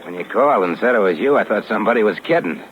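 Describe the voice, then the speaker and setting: Casting: man as casual underworld fence, lowish voice, terse dealer's manner, hissy vintage radio. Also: lively intonation, rapid-fire, lightly acted. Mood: offhand, shrewd. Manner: offhand, terse, businesslike. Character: shrewd, casual male fence buying stolen goods. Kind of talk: 1950s radio crime acting. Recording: muffled, hissy 1950s radio recording.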